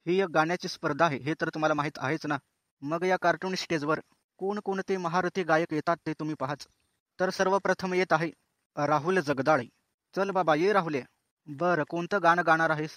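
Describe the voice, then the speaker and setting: A man's voice: on the high side, steady tone, fairly quick, fairly bright tone, no echo, natural voice. A man playing the chatty, teasing host of a cartoon singing contest, talking in a folksy, colloquial way. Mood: breezy, hosting. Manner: colloquial, folksy, addressing viewers as friends. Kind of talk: comic cartoon show presenting.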